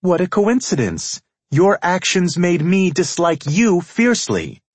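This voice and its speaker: A man's voice: sits high, sing-song, unhurried, husky, no echo, voice pushed up, full character voice. A proud young woman, acted by a male narrator, wounded and defiant. The voice sits well above his natural register and lands each phrase with cutting emphasis, as audiobook dialogue. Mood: cold, cutting.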